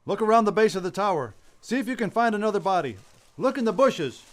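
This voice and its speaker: An actor playing a brisk, authoritative police commissioner in a radio play, giving urgent commands. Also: male voice, high-pitched, big pitch swings. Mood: commanding.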